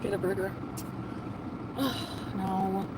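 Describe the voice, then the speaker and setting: Brief: woman, 40s, ranting streamer, somewhat high voice, off-mic, with heavy traffic noise around. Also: fairly even intonation, very slow, distant mic. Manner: complaining, ranting. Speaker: livestreamer. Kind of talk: live-stream rant.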